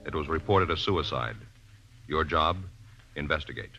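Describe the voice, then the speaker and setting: A man's voice: rumbling low, moderate pace, lo-fi sound. A man reading terse, grave scripted narration in a 1950s radio announcer style. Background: music.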